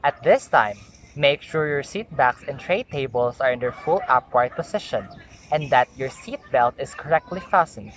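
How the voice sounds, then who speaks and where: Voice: mid-range voice, lively intonation, normal speed, slight background noise. A young adult with a Filipino accent, practicing scripted announcements and chatting as an amateur vlogger, with careful but stumbling delivery. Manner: careful, formal reading with stumbles and retakes. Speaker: tourism student practicing flight attendant announcements. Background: music, barking dog at times.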